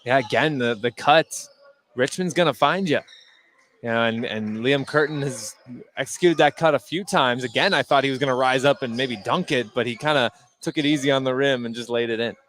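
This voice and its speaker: A man's voice: mid-range voice, a little fast, fairly bright tone. A man giving conversational color commentary on a live basketball game. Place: indoor basketball gym during a game. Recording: faint gym noise behind the voice.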